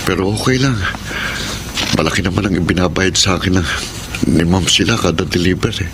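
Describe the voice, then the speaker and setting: A man's voice: low-pitched, brisk, background noise. An actor playing an earnest, distressed young male laborer in an emotional, pleading radio drama style. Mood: relieved. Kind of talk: Filipino radio drama acting.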